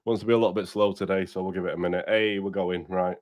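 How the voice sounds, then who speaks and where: A man's voice: deep voice, little pitch movement, quick pace, darkish tone, no room sound, clean studio sound. A man hosting a casual livestream podcast while bunged up with a cold. He is blunt, sweary and upbeat, and talks straight to his viewers. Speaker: podcast host.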